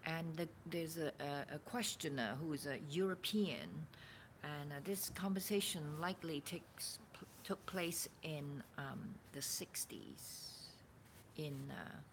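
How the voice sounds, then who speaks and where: A woman informally reading a spiritual dialogue aloud as a teacher, with a deliberate, expressive and at times halting delivery. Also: low voice, poor audio. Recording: background room noise.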